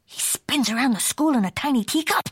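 A woman voicing an excitable, playful young male kitten who puts on a Spanish accent for a storybook. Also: sits high, measured pace, pitched above the performer's own voice, full character voice. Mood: eager. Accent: put-on Spanish. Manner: playful rhyming boasts sprinkled with Spanish.

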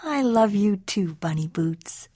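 A woman voicing a gentle, doting mother cat in a storybook, tender and soft-spoken. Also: extremely high pitch, sing-song, moderate pace, gravelly, no echo, studio quality, voice pushed up, full character voice. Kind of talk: storybook character voice.

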